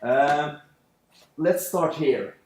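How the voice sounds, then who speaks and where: A man's voice: fairly high pitch, extremely slow. A man with a Norwegian accent leading a seminar, talking loosely with many fillers. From Norway. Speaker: lecturer. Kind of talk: seminar introduction. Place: lecture room. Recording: echoey classroom.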